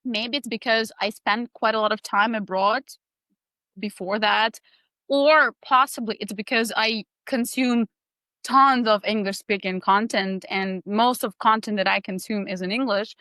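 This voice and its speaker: Female guest, native Ukrainian speaker, bright crisp voice, reflective answers. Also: mid-range voice, steady tone, measured pace, no room sound. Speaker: actress and interview guest. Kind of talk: casual interview answers.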